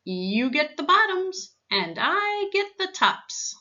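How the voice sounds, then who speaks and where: A woman's voice: extremely high pitch, big pitch swings, slow, bright, forceful tone, dull audio, voice pushed up, exaggerated voice. A woman voicing a clever, cheery male storybook hare who coaxes and calls out in a sing-song way. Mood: chirpy, triumphant. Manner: sing-song calling, coaxing.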